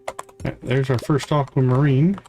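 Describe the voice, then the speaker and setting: A man hosting a livestream, giving casual gameplay commentary and thinking aloud in an unhurried way. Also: mid-range voice, fairly even intonation, a little mellow, soft background music. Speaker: livestream host.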